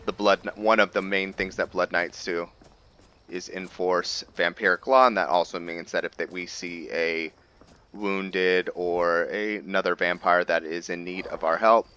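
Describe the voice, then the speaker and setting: A man giving casual gameplay commentary, thinking aloud with halting, hesitant pauses. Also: low-pitched, fairly even intonation, bright, forceful tone, clean tone, highs cut off. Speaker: gamer host of a roleplay series.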